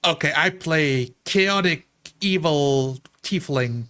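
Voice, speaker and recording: A man with a slightly high-pitched voice talks casually among fellow tabletop players. He makes his points conversationally, tossing in short hypothetical quotes as he goes. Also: takes it slowly, sharp tone. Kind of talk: casual animated group discussion.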